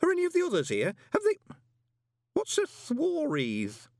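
A man voicing a panicky, tipsy, self-important gentleman in an audiobook, flustered and bossy. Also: extremely high pitch, huge pitch swings, moderate pace, gravelly, dry recording, studio quality, pitched above the performer's own voice, heavily acted. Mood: panicked.

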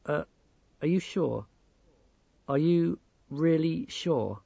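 A man voicing a gentle, hesitant older male gnome who speaks soothingly in a storybook. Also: fairly high pitch, expressive, unhurried, vocal fry, no room sound, highs cut off, heavily acted. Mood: hesitant, doubtful.

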